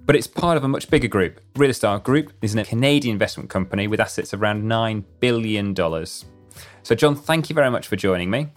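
Low-voiced male podcast host. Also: animated, brisk, faint music behind. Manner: scripted, formal introductions. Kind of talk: interview introduction.

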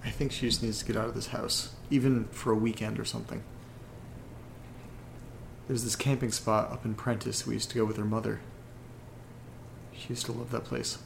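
A man playing an uneasy horror podcast host who reads letters aloud, earnest and deliberate, with pauses between phrases. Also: on the low side, flat delivery, vocal fry, slight background noise. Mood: uneasy, troubled. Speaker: audio drama podcast host character reading listener letters. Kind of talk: dramatic narration in a horror audio drama.

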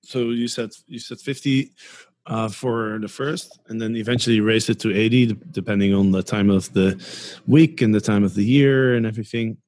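A man hosting a casual interview podcast, who works through figures out loud and restarts his phrases. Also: lowish voice, fairly even intonation, moderate pace. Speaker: podcast host.

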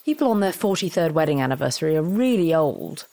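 A woman's voice: low-pitched, fast, creaky, no echo, full character voice. A woman playing a restless, wry partner bantering at home in a naturalistic audio drama. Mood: wry, playful. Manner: wry, animated, complaining.

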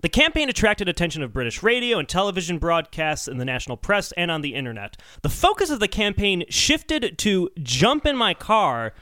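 A man co-hosting a podcast, telling researched stories in a casual, animated chat. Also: sits high, a little fast, hardly any pauses, no room sound, studio quality. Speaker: podcast co-host.

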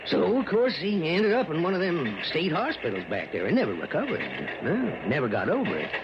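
A man's voice: a little high, sing-song. An actor in an old-time radio drama playing a worried, rambling small-town mayor and newspaperman with a rural American accent. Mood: anxious, insistent. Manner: rambling, folksy, emphatic drawn-out storytelling. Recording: muffled old radio recording, faint noise.

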